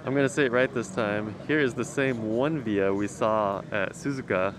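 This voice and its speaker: A man's voice: fairly low pitch, normal speed. A man giving casual, slangy and enthusiastic walk-around commentary as a car enthusiast, speaking outdoors. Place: outdoors in a circuit paddock. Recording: noisy outdoor car paddock ambience.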